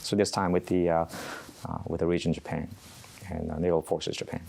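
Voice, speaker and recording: A middle-aged man from Korea, a Navy chaplain answering interview questions in an unhurried way, often pausing on uh. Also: deep voice, flat delivery, creaky, darkish tone. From South Korea. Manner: unhurried, halting with frequent uh pauses.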